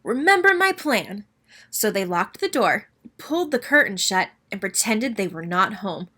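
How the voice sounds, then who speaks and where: Bright, animated woman storyteller. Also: mid-range voice, normal speed. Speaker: read-aloud storyteller. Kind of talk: expressive children's story narration.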